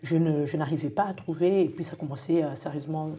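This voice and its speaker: A woman's voice: sits low, quick pace, warm tone. A middle-aged French-speaking woman, a guest in an interview, talking casually about her path with many fillers and restarts.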